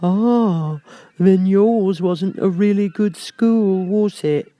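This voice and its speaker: A man voicing a mournful, self-pitying old turtle creature in a storybook reading, with drawn-out, sighing, doleful delivery. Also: high-pitched, mellow, no echo, pitched above the performer's own voice. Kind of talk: storybook character voice.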